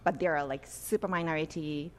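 Animated, lower-pitched woman from Japan explaining. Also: brisk. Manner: animated, explains with many "you know" and "right?". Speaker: author and guest. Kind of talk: conversational interview answers.

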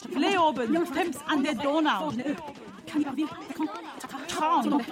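Woman, very high voice, slow giggly list recital, crowd noise behind. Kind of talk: reciting lists of town names.

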